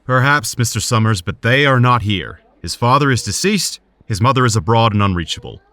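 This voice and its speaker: An actor playing a serious, cautious hospital surgeon in an audio drama, speaking in a measured, formal way. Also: male voice, medium pitch, animated, fairly bright tone, no room sound, natural voice. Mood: grave, measured.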